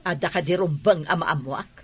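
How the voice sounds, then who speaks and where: A woman with a Filipino accent playing a domineering, nagging, jealous wife in a radio drama, husky-voiced. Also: deep voice, moderate pace, soft background music. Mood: angry, threatening.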